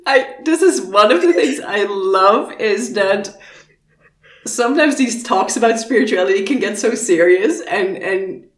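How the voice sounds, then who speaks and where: A woman's voice: medium pitch, lively intonation, measured pace. A woman hosting a casual interview, encouraging her guest and asking follow-up questions. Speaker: podcast host. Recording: roomy echoey sound.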